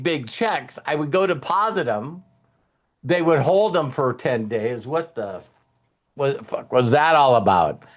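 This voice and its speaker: A man's voice: a little high, fairly bright tone. A man hosting a casual motivational talk to a group, speaking informally and checking in with his listeners.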